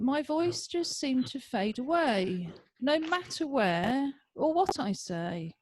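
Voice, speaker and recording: A woman's voice: high voice, darkish tone, no room sound, poor audio. A woman introducing and then reading her rhyming poem over a video call, chatty then rhythmic. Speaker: poet reading.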